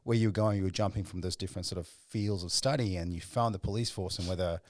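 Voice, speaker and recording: A man's voice: deep voice, fairly even intonation, quick pace, a little mellow, dry recording, studio quality. A man from Sri Lanka hosting a podcast interview, asking long, musing questions and reflecting on his own experience. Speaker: podcast host.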